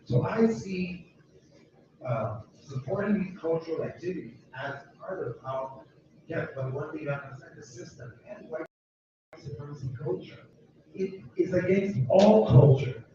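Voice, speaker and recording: A man's voice: sits high, animated, normal speed, noisy background. Emphatic man, distant and echoey. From United States. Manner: emphatic, rambling, repeats himself. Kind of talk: informal talk to a walking group. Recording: distant, echoey, muffled with loud ambience.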